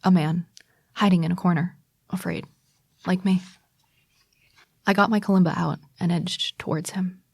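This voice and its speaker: A woman playing a wary, low-voiced young woman fugitive. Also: lightly acted. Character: wary, frightened young woman musician fleeing spies. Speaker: narrator and lead character. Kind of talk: dramatic first-person audio drama. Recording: music bed under voice. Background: music.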